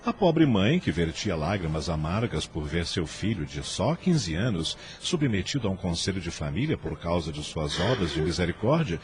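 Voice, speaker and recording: Deep-voiced male radio drama narrator. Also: sing-song, moderate pace, few pauses, slight background noise. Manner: solemn, dramatic storytelling.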